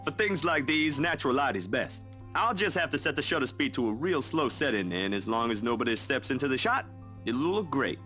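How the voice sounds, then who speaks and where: A man puts on a big, friendly male photographer from a visual novel, humble and polite, with an easygoing folksy way of talking. His voice is bright and swings up and down a lot. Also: mid-range voice, sing-song, a little fast, faint music behind.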